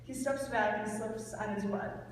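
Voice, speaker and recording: A young woman reading stage directions as narrator of a live, impromptu play reading, a little halting with corrections. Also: mid-range voice, steady tone. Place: large reverberant room at a live reading event. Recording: echoey hall from far off, noisy.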